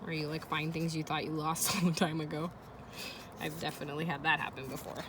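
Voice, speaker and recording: A woman's voice: low voice, flat delivery, a little fast. Woman reading aloud to kids, noisy background. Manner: encouraging, asks the listeners questions. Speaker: teacher reading aloud to pupils. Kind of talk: children's chapter-book read-aloud with casual asides. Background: ambient noise of children playing.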